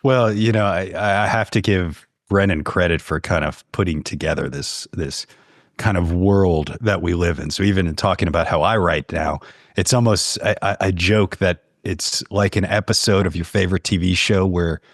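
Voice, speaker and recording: A man's voice: deep voice, moderate pace, no room sound, clean studio sound. A man speaking as a podcast guest in a casual, conversational way. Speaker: podcast guest, horror fiction writer. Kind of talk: casual chat.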